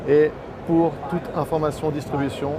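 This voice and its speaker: A man's voice: a little high, level tone, normal speed. A man giving an explanatory, promotional product presentation, as a distributor at a trade show stand. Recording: noisy trade show floor ambience.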